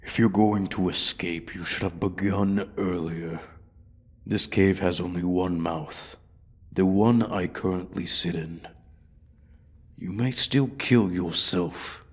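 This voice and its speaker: A man voicing an ancient, arrogant dragon in a fantasy ASMR roleplay, haughty and deliberate, with a breathy voice that often drops to a whisper. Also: low voice, fairly even intonation, exaggerated voice. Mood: cold threat. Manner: haughty, deliberate, coldly threatening. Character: ancient, menacing, arrogant male dragon guarding his hoard. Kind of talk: slow, theatrical fantasy ASMR roleplay monologue.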